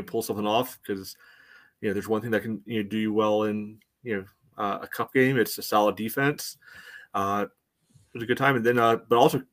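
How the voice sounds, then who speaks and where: A man co-hosting a sports podcast with casual, halting analysis full of verbal padding. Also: lowish voice, a bit bright. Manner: halting, peppers talk with "you know". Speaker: podcast co-host, commentator.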